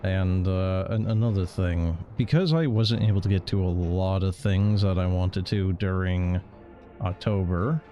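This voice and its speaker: A man's voice: sits low, steady tone, unhurried, mellow, faint music behind. A man doing casual game stream commentary in a rambling, sardonic, sweary way. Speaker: livestreamer.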